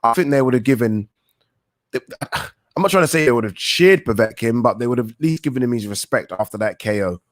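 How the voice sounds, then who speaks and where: A man's voice: medium pitch, brisk, no room sound, studio quality. A young man hosting a live boxing talk stream, reading viewer questions aloud and answering in a rambling, thinking-aloud way with casual swearing. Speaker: boxing talk show host.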